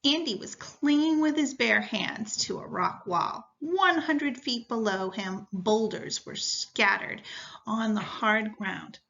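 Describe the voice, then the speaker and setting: Female teacher for classroom read-aloud: high-ish, crisp-edged bright tone, unhurried and instructional, on a muffled lo-fi recording. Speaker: teacher reading a story to students. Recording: dull, lo-fi sound.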